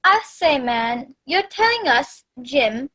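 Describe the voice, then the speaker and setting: A composed gentleman doctor acted by a woman in a radio drama, with a high voice and slow, measured delivery. The manner is formal, polite and inquisitive. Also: sing-song, full character voice. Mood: intrigued.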